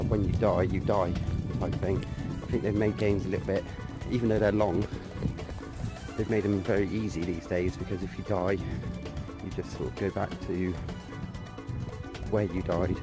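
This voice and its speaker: A man in his thirties with hay fever, sounding bunged up, chatting casually and hesitantly while walking outdoors, filmed at arm's length. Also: deep voice. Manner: rambling, hesitant with many fillers. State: stuffy nose and sore throat from hay fever. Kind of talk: casual chat. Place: outdoors on a residential street. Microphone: phone or camera held at arm's length.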